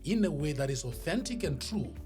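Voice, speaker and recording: A South African man speaking as a podcast guest, a professional speaker telling his story in a deliberate, emphatic, oratorical way. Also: on the high side, big pitch swings. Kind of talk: motivational storytelling in an interview. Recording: music behind the voice. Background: music.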